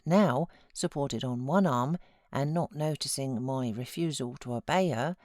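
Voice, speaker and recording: British lady audiobook narrator, low pitched, really dry close sound, reading literary fiction. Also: darkish tone, no room sound. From Britain. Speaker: audiobook narrator, voiceover artist.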